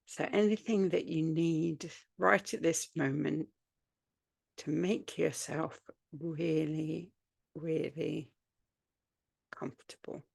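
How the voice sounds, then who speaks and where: A woman guiding a yoga nidra relaxation, speaking soothingly in short, unhurried phrases with long pauses between them. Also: low-pitched, fairly even intonation, gravelly, a little mellow, husky, dry recording. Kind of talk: guided meditation, spoken relaxation practice.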